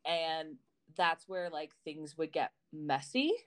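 A woman's voice: sits low, fairly even intonation, slow pace, clean tone. A woman co-hosting a podcast in casual chat, telling personal stories in a candid, informal way with some swearing. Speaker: podcast co-host.

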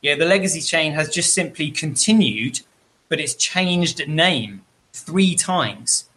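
A man's voice: a little high, expressive, slow pace, bright, forceful tone. A man appearing as a guest on a talk show, earnestly explaining with frequent fillers. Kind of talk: casual talk show discussion.

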